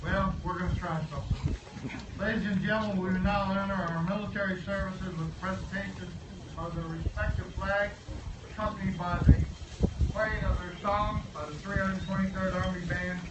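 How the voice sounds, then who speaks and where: A man's voice: high voice, steady tone, moderate pace. A man making formal announcements as master of ceremonies at a memorial service. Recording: echoey, distant, very noisy.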